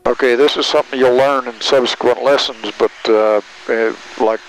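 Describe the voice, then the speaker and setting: An older man in his sixties instructing in halting, short directives over an airplane headset intercom. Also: medium pitch, steady tone, rough recording. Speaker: flight instructor. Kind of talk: calm cockpit instruction. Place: small airplane cockpit in flight. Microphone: aviation headset boom mic. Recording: aircraft intercom headset with engine noise.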